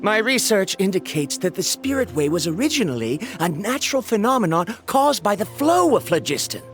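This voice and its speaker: A man's voice: sits high, big pitch swings, brisk, a bit bright. An actor playing an eager young male scholar in a video game, lecturing about his theories in a pedantic way. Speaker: researcher. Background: game music.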